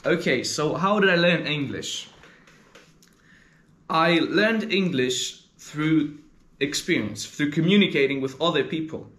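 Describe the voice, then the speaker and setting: Teen boy, Greek accent, higher-pitched voice, earnest and halting, recorded in a roomy space with echo. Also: expressive, fairly bright tone. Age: teens, about 15. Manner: earnest, searching for words, frequent "like" and "uh". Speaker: interviewee, gamer. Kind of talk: casual interview answers.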